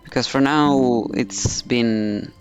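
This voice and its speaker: Guy streaming a game, reads dialogue out loud n comments, smooth clear mid voice. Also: medium pitch, takes it slowly, soft background music. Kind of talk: reading game text aloud and commenting.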